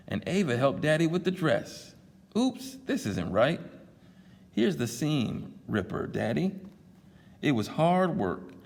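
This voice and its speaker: A man reading a picture book aloud at a library storytime, pausing for chatty asides to his listeners. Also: mid-range voice, vocal fry, a little mellow, long reverb tail. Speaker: storytime reader.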